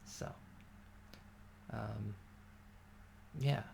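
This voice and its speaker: A man speaks softly in a gentle ASMR ramble, pausing often and filling gaps with small hesitations. His low voice carries a heavy gravelly fry and stays flat in pitch. A clear hiss sits behind him. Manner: soft-spoken, rambling with many fillers. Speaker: ASMR creator. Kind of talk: soft-spoken ASMR ramble.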